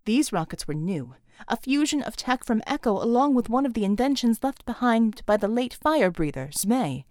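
A woman's voice: fairly high pitch, big pitch swings, moderate pace, darkish tone, no room sound, clean studio sound. A woman reading scripted action fiction as a dramatic audiobook narrator.

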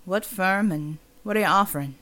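A woman voicing a gruff, sardonic male loner in an audiobook, terse and folksy, guarded with strangers. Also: medium pitch, normal speed, sharp tone, lightly acted. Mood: sour, suspicious. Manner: terse, drawling, folksy, dropped words.

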